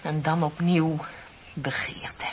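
A muffled radio play recording with soft hiss carries a young woman. Her voice is on the low side, heartbroken and ashamed. She confesses dramatically, sinking at times into breathy near-whispers. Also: fairly even intonation, takes it slowly. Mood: hushed, haunted. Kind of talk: Dutch radio play acting.

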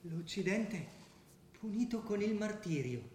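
Zealous young militant man, high voice, declamatory and insistent, echoey stage recording with faint music. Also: lively intonation, unhurried, darkish tone, a little hiss or hum. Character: zealous young jihadist man, pushing his friend toward war. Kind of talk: theatrical radio drama acting.

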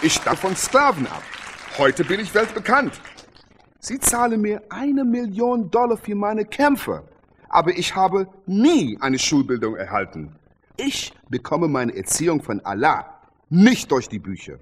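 An actor playing a proud, devout and defiant boxing champion, answering in a declamatory, emphatic way in a radio play. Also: male voice, high-pitched, big pitch swings, measured pace, a faint crowd, slight background noise. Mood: proud, solemn. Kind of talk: radio drama acting, interview answers.

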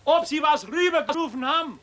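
High-voiced, puzzled older Bavarian neighbour. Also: male voice, brisk, crowd noise. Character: puzzled, good-natured older neighbour with a plum tree. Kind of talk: 1970s children's radio play acting.

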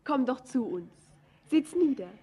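An actress playing a dreamy, tender young miner's daughter in a German radio play, lyrical and often lapsing into whispers. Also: female voice, high-pitched, lively intonation, measured pace, dark tone, slightly rough, full character voice. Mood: eager, excited.

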